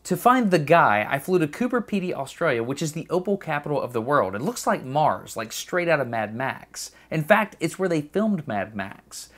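A man in his thirties from Alabama with a Southern American accent, narrating and asking curious, excited questions as a science video host. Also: a little high. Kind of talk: enthusiastic explainer narration. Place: home office; outdoor desert site.

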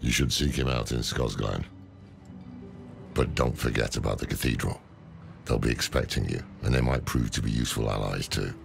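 An actor playing a weary old scholar in a video game, grave and wry, with a gravelly, measured delivery. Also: male voice, extremely low pitch, faint music behind, exaggerated voice. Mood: earnest. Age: older. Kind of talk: dramatic video game dialogue and narration.

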